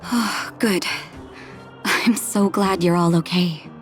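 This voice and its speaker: A woman voicing a gentle, soft-hearted healer in an anime-style game, tender and soothing in manner. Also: on the low side, takes it slowly, soft background music. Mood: concerned, relieved.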